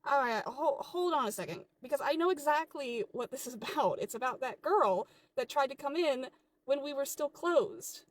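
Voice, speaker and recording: A woman's voice: high voice, lively intonation, moderate pace. A woman playing an exasperated, defensive sandwich shop employee in a comedy skit, argumentative and incredulous. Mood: indignant.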